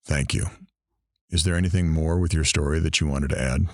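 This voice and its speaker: A man's voice: extremely low pitch, steady tone, a little fast, no echo, clean studio sound. A man playing a musing interviewer in an audio drama, conversational and frank. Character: musing male interviewer, frank about his regrets.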